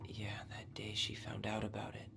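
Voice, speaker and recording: A man acting in a video game as a guarded, wry young American man, with understated, dry delivery and a gravelly, hushed voice. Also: lowish voice, monotone, moderate pace, whispered. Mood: somber, hurt. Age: young adult. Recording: faint noise, streamed game audio.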